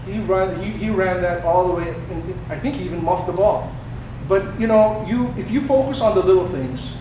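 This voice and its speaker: A man's voice: high-pitched, normal speed. Echoey, distant man talking football. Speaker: sports podcast panelist, self-described defensive player. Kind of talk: casual American football talk. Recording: echoey room, distant mic, noisy background.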